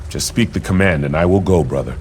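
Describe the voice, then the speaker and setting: A man voicing a grave, deep-voiced prince. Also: fast, darkish tone, a little hiss or hum, lightly acted. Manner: solemn, formal speech. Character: dutiful adult prince, grave and self-sacrificing. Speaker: game character.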